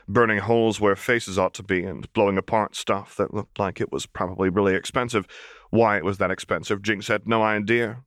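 Man, low voice, audiobook narrator, expressive scripted fiction, wry delivery, close dry recording. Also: no echo.

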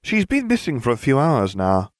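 A man's voice: medium pitch, huge pitch swings, moderate pace, dry recording, studio quality, pitched above the performer's own voice. A man voicing a worried, polite adult schoolteacher in an audiobook, with an urgent manner. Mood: concerned.

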